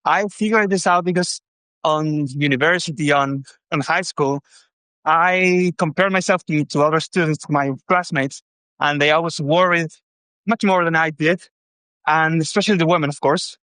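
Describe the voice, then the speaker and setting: Higher-pitched Chilean man, halting speech. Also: a bit bright, no echo. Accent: Chilean Spanish. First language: Spanish. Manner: hesitant, halting second-language English. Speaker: caller. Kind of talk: conversational, explaining.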